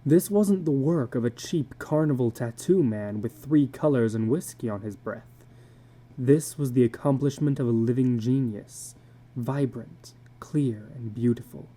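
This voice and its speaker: Very mellow, slow male story narrator. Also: medium pitch. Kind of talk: dramatic reading of literary fiction.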